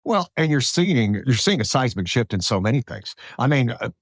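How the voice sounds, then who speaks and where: An American man hosting a podcast, talking politics casually in a halting way, repeating words as he goes. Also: medium pitch, very animated, slightly rough, no echo, clean studio sound. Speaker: podcast host.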